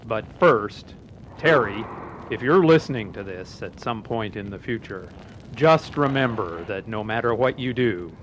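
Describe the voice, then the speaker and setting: A man's voice: fairly low pitch, animated, unhurried, fairly bright tone, low-quality recording. Old-tape radio man: ominous, hissy cassette sound. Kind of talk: audio drama acting.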